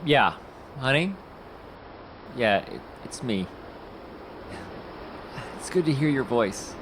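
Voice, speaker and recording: A desperate talent scout. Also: male voice, medium pitch, sing-song, slow, background noise. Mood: tender, weary. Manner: frantic pleading, repeating words. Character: desperate middle-aged male talent scout, devoted family man. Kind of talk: audio drama acting.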